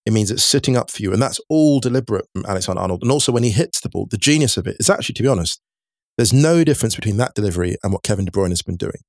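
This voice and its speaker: Low-voiced man talking football, repeating himself. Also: animated, racing, a little mellow, dry recording, clean studio sound. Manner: enthusiastic, repeats words, stumbles. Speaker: football pundit. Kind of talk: casual football chat.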